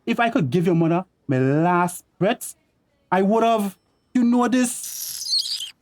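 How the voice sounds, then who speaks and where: A man's voice: high voice, expressive, slow pace. A man playing a defensive, indignant stepfather with a Tobagonian accent in a radio drama. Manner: combative, Creole phrasing. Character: defensive, indignant common-law husband and stepfather.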